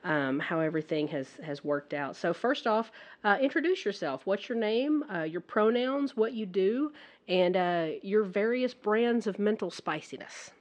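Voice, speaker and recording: A woman hosting a casual podcast interview, husky as she recovers from the flu, talking in a chatty, halting way with many restarts. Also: mid-range voice, expressive. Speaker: podcast host.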